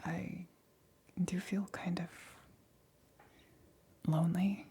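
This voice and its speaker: A nervous, lonely lab assistant, acted by a woman in an ASMR roleplay. Her voice is a low, very breathy whisper with a soft, mellow tone. She speaks haltingly and intimately, stumbling over words as she clings for company. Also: flat delivery, full character voice. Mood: anxious, pleading. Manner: halting, hesitant, stammering. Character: anxious, lonely female lab assistant growing woozy and clingy.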